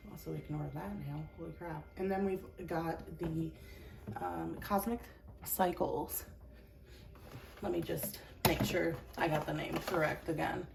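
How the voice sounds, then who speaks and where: A woman's voice: lowish voice, creaky. Chatty young woman, a bit sick, roomy echo. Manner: chatty, self-deprecating, laughs often. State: slightly sick with an itchy throat and chest cough. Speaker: tarot reader. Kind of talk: casual tarot reading to camera. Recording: roomy echo, mic at a distance, noisy.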